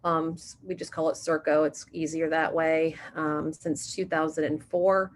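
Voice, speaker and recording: A woman's voice: low voice, flat delivery, unhurried. A woman who directs a city's sister cities program, introducing herself chattily on a video call and correcting herself as she goes.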